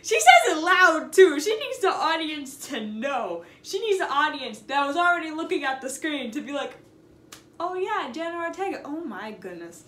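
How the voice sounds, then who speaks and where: A young woman casually telling an anecdote in a chatty, animated, sarcastic way, stretching words for emphasis. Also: high voice, normal speed. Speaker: storytime vlogger. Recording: roomy echo, noticeable background noise.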